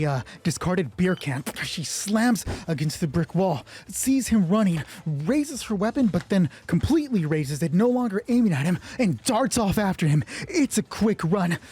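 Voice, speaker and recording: A man's voice: high-pitched, lively intonation, moderate pace, darkish tone. A man narrating an improvised solo tabletop game, thinking aloud casually and swearing freely. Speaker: solo roleplay player and narrator.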